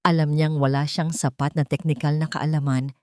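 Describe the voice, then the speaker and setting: A woman's voice: sits low, little pitch movement, moderate pace, a little mellow, no echo, clean studio sound. A woman with a Filipino accent narrating a scripted dramatic story.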